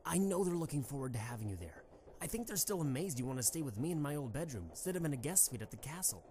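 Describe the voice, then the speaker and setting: Very low-pitched, animated young pony prince voiced by a woman. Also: quick pace. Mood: fond, teasing. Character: young husband pony prince, fond, teasing, protective worrier. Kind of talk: amateur audio drama acting. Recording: slightly noisy amateur microphone recording.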